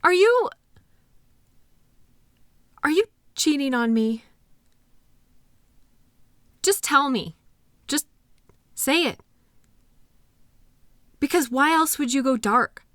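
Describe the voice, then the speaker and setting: A woman acting a hurt, anxious girlfriend in an intimate audio drama, emotional, accusatory and halting as she argues with her partner. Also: sits high, expressive, no echo, studio quality, full character voice. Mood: spiraling, accusing.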